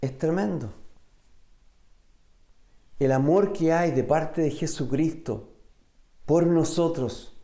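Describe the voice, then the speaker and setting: Slow-paced American-born preacher man in echoey room. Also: fairly high pitch, dark tone. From United States. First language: English. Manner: deliberate, pausing, searches for words. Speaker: pastor. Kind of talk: sermon. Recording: roomy, echoing sound.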